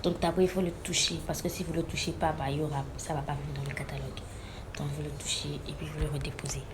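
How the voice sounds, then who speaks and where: A woman's voice: low-pitched, flat delivery, fast talker, noisy background. A woman with a cold giving a casual game tutorial, explaining step by step in a hesitant way. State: has a cold. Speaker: gaming tutorial host. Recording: loud music under the voice. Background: loud background music.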